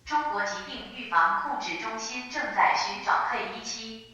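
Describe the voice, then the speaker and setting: A woman's voice: fairly high pitch, expressive, drawn-out words, pushed and bright. A woman reads a scripted emergency alert as a broadcast announcer, formal and impersonal. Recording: dull, heavily echoing broadcast sound.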